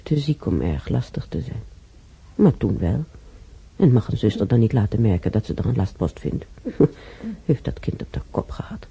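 An old woman with a creaky, very dark voice rambles wistfully and repeats herself. Her pitch swings in a lively way as she muses aloud in a slightly noisy radio play recording. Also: normal speed, heavily acted. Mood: worried, hurt. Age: older. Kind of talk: radio drama monologue and dialogue.

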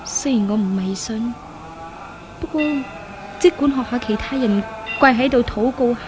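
A woman's voice: on the high side, normal speed, close to an everyday voice. An actress in a 1970s radio drama playing a guilt-ridden, world-weary young woman with a melodramatic, sorrowful manner. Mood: doubtful, wondering. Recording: old radio drama, music behind voice.

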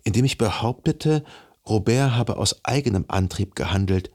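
An actor playing a melancholy, self-deceiving gentleman, a jilted lover narrating a literary radio drama in a measured, formal and carefully articulated way. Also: fairly low pitch, animated. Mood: wistful, brooding. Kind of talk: literary radio play narration, reading a letter.

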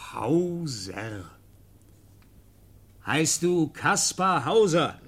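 An actor in a German radio play voicing an officious, puzzled police sergeant who speaks deliberately and gives orders. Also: male voice, on the high side, a bit bright, slight background noise, exaggerated voice. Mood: probing. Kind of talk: German radio drama acting.

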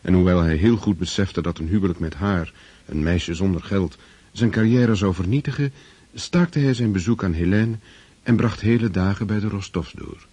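A man with a husky voice giving measured, scripted narration for a literary radio drama. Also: low-pitched, mellow, no echo.

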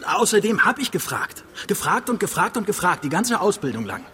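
An impatient young police trainee with a fairly high voice. He sounds defensive and headstrong, in naturalistic radio drama dialogue over faint ambient noise. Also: big pitch swings, fairly quick, sharp tone, heavily acted. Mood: frustrated. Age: young adult.

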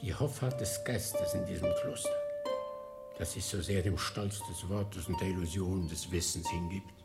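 Deep-voiced, zealous old monk. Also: male voice, normal speed, creaky, over loud music. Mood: fervent, accusing. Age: older. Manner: impassioned, dramatic, swinging to hushed whispers. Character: fervent old monk, zealous, gloomy, warning of evil. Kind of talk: radio drama acting.

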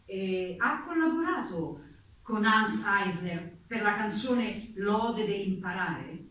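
A woman's voice: mid-range voice, expressive, slow pace, sharp tone. A woman acting a formal, persistent interrogator who puts official, pressing questions in a stage drama. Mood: formal, probing. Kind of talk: stage courtroom drama dialogue. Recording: distant, very echoey stage recording, faint noise.

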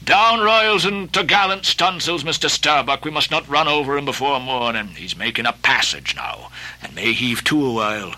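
A man voicing an old, obsessed sea captain, declaiming archaic lines with booming passion. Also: expressive, moderate pace, sharp tone. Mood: commanding. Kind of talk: audiobook character voicing.

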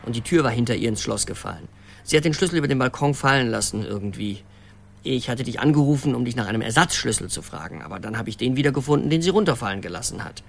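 An actor playing a rambling boyfriend leaving a halting, reassuring voicemail in a radio drama. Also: male voice, medium pitch, animated, vocal fry. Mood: hesitant, reassuring.

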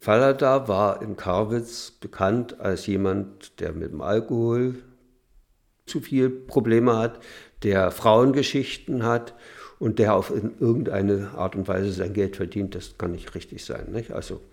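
An older man remembers in an interview, halting and restarting as he goes. His voice is low and gravelly, with a lot of fry. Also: a little mellow. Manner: hesitant, halting recollection. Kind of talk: interview recollection.

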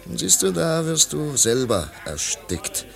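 An actor in a German radio play voicing a disillusioned, wistful male artist who talks in a theatrical, halting way. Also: on the high side, big pitch swings, creaky, soft background music, heavily acted. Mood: resigned, ironic.

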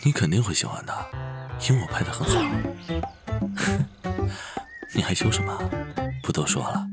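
Romantic male lead: young, affectionate, breathy audio-drama delivery, expressive swings in pitch, clear music bed. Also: moderate pace. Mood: playful, teasing. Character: affectionate, teasing, romantic man in love with his boyfriend. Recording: music behind the voice.

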